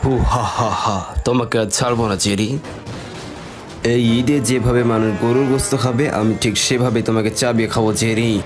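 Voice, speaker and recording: A man's voice: mid-range voice, level tone, natural voice. A man voicing a boastful, vengeful cartoon cat in a theatrical, gloating manner for a fan dub. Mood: gloating, menacing. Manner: theatrical, gloating with drawn-out villain laughs. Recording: loud music under the voice.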